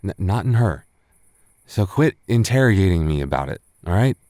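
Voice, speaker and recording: A man's voice: low-pitched, big pitch swings, normal speed, darkish tone, dry recording, studio quality. A young man acting a tipsy, flustered roommate hiding a crush in an intimate boyfriend roleplay, stammering and defensive in a conversational way.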